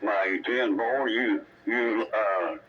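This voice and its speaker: A man's voice: on the low side, steady tone, slow pace. A man making casual conversation over CB radio. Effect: two-way CB radio transmission. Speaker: CB radio operator. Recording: dull, noisy CB radio signal.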